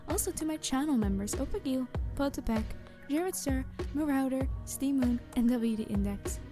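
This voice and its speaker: A woman's voice: high voice, normal speed, over music. A woman audio roleplay creator speaking to her listeners in a channel outro, thanking supporters.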